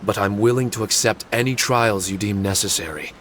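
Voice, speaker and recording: A man's voice: fairly low pitch, fairly quick, a bit bright, slight background noise, close to an everyday voice. A man voicing a humble, earnest spirit guardian in a video game, with a formal, apologetic way of speaking. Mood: humble, accepting. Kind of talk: English video game voice acting. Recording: music behind the voice.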